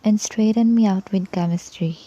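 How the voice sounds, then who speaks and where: A woman's voice: mid-range voice, fairly even intonation, moderate pace, dark tone, lightly acted. A woman playing a dry-witted student sister in an amateur radio play. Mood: impressed. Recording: dull lo-fi dry recording.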